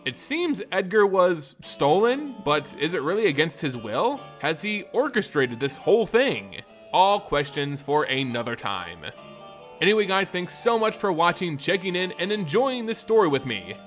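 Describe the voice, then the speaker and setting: Male lore host and storyteller, fairly high-pitched and very animated, theatrical scripted fantasy read over music. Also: normal speed. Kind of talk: dramatic scripted fantasy narration and commentary.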